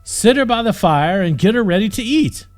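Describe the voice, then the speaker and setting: Bandit captain, fantasy audiobook: cruel, hot-tempered bully, raised pitch, sneering, stretches words for menace. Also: male voice, lively intonation, faint music behind. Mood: furious. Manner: sneering, shouting, exasperated, drawn-out emphasis.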